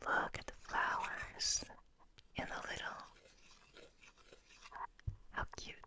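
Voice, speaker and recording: A woman hosting ASMR in a breathy whisper, speaking in a soft, soothing, unhurried manner. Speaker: ASMR host.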